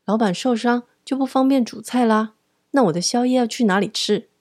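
Woman acting an excitable, high-pitched, fussy female customer. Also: brisk, dry recording, clean studio sound, close to an everyday voice. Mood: whiny. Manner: loud, fussy exclaiming. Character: excitable, hungry, dramatic younger woman diner customer. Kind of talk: radio drama acting.

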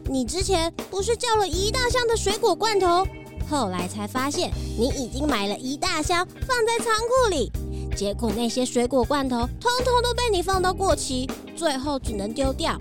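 A woman's voice: high voice, sing-song, moderate pace, over music. A woman voicing a young rabbit shop clerk in a children's audio drama, childlike, frank and emphatic. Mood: scolding.

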